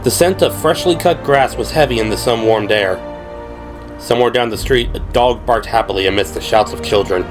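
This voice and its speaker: A man reading scripted dramatic narration as an audiobook narrator, in a measured, descriptive storytelling manner. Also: mid-range voice. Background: prominent music.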